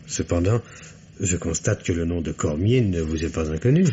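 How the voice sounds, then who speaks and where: A dull, noisy old radio recording carries the low voice of a man playing a senior French police commissioner. He is shrewd and ironic, choosing his words with patient, dry care in a mystery drama. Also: moderate pace, warm tone, close to an everyday voice. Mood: probing.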